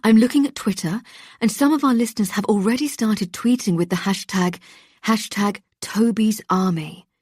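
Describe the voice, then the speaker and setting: Woman reader doing a cheery young radio presenter lady, velvety smooth, bright and upbeat, dry close sound. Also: medium pitch, normal speed, dry recording, voice pushed up, natural voice. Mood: chirpy. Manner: bright and chirpy. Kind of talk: audiobook character voice.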